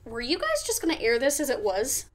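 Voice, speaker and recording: Woman playing a brash, animated pony radio DJ. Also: sits high, brisk. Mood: breezy, pushy. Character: brash, sarcastic female pony radio DJ with big ego. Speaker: radio host. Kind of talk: comic audio drama with first-person narration.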